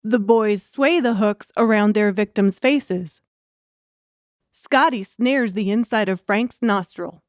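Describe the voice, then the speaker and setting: A woman's voice: medium pitch, slow pace, dry recording, clean studio sound. A woman reading scripted audiobook narration as the storyteller.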